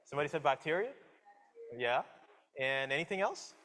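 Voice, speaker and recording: A man in his forties from the Caribbean with a slight Caribbean accent, giving a relaxed public science lecture and talking with the audience, with many fillers and restarts. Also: a little high, moderate pace. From Guyana, raised in Jamaica. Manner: casual, friendly, asks audience questions, many fillers and restarts. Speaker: guest lecturer, professor.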